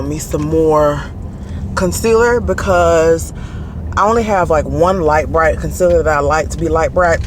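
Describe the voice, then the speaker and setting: A man with a Southern Alabama accent vlogging casually from his car, animated and laughing often as he talks to a handheld camera. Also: on the high side. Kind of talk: casual driving vlog. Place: in a car, driving. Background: faint car and road noise.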